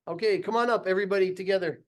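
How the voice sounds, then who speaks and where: A man's voice: high-pitched, very fast. A man working as a teacher, giving informal classroom instructions.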